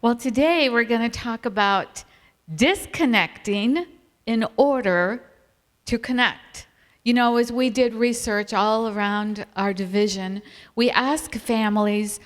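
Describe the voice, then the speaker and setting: American lady doing a church family seminar, takes her time, lots of hall reverb. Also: a little high. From Appalachian Virginia, United States. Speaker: seminar speaker. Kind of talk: measured religious family seminar to a live audience. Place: large hall at a camp meeting. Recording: reverberant hall sound system.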